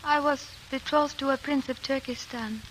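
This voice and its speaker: An actress playing a shy, wistful young noblewoman in a 1940s radio drama, speaking softly and with reserve. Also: high voice, steady tone, normal speed, slight background noise, poor audio. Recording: old radio broadcast.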